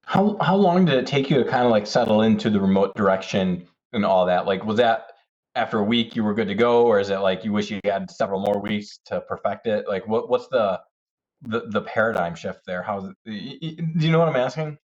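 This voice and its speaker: A man's voice: on the low side, a little fast, darkish tone. A man putting questions in a casual, conversational way, heard through dull audio.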